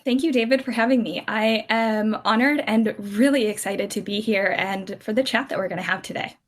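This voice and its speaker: A woman's voice: medium pitch, moderate pace. A woman in her thirties from California, a podcast guest telling family stories in a chatty, rambling way. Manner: chatty, many restarts and fillers, laughs easily. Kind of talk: casual conversational storytelling.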